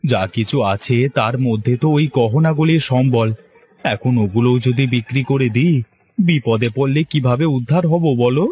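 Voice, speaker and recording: A man's voice: mid-range voice, normal speed, darkish tone, natural voice. A man playing a plaintive, luckless fisherman. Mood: worried. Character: poor, unlucky, impatient fisherman husband, self-pitying. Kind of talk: Bengali cartoon story voice acting.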